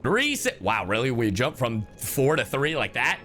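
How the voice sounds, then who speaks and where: Animated man reacting to a game. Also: medium pitch, normal speed. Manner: slangy, animated, swearing. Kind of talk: casual live gameplay reaction commentary. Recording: game music faintly behind.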